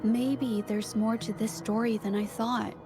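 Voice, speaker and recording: High-voiced, gentle young painter. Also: female voice, little pitch movement, a little slow, over music. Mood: thoughtful, puzzled. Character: gentle, earnest young woman painter turned amateur investigator. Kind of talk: English video game voice acting.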